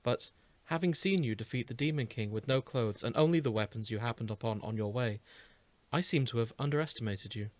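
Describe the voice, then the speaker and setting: Guy as cold amused spirit lady, formal and measured, story reading, dry close sound. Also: mid-range voice, no echo, lightly acted. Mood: cool amusement. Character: cold, whimsical, faintly amused ancient female spirit.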